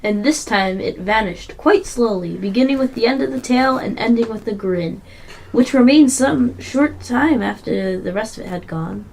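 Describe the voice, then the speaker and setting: Casting: female story narrator, fairly high with marked vocal fry, reading from a script. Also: normal speed, darkish tone, a little hiss or hum, rough recording.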